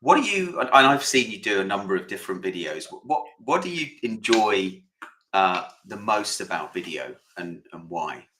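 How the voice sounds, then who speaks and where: A man's voice: low voice, expressive, pushed and bright, echoey room. A man co-hosting a sales podcast, introducing guests and asking questions, often restarting his sentences. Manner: halting, many restarts and fillers. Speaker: podcast co-host. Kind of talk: casual podcast chat.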